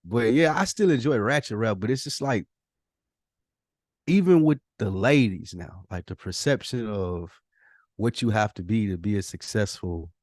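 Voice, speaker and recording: A man's voice: fairly low pitch, lively intonation, moderate pace, darkish tone, dry recording, studio quality. A man co-hosting a podcast, chatting casually with blunt, profane slang and prodding questions. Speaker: podcast co-host. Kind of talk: casual chat.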